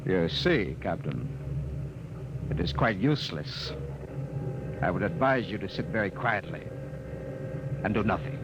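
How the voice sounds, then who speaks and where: An actor playing an alien agent posing as a ship's lieutenant in a 1950s radio drama. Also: male voice, low voice, sing-song, unhurried, long pauses, highs cut off. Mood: calm, menacing. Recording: noisy 1950s radio recording.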